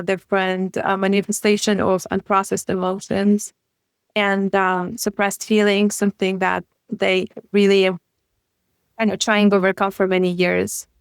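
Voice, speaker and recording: A woman's voice: medium pitch, clean tone, no room sound. A woman in her thirties, from Ukraine and not an English speaker, speaking as a guest grief coach in an earnest, casual way, often dropping articles. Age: 30s. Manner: earnest, drops articles, frequent "you know" and "like". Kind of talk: casual interview chat.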